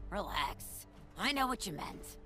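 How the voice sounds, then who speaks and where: A woman's voice: lowish voice, exaggerated voice. An actress voicing a tough, blunt ghoul woman from Pittsburgh who survived the bombs as a factory worker, talking in a wry, plain-spoken way. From Pittsburgh, USA. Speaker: game character. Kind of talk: video game dialogue.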